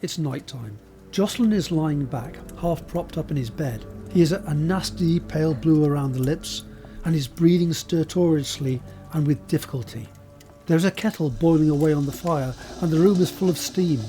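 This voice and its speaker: A man's voice: on the high side, normal speed, mellow, faint music behind. A man reading scripted scene narration for an audio drama.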